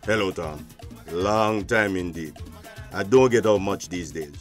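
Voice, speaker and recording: A man acting in a radio drama as a stern, weary, dignified older gentleman with a Belizean Creole accent. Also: low-pitched, unhurried, faint music behind. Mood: reserved.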